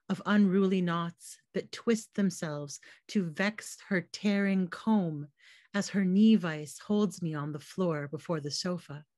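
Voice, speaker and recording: A Canadian woman, a poet, giving a poetry reading on a video call, her voice slightly hoarse, quick in her intros and measured as she reads. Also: on the low side, no room sound. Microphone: computer mic on a video call.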